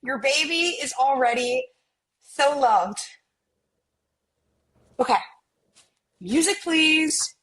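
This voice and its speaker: A sweet millennial romcom heroine played by a woman, with a slightly high voice and very unhurried delivery. She sounds sincere, as in a table read. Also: animated, fairly bright tone, heavily acted. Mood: warm, celebratory.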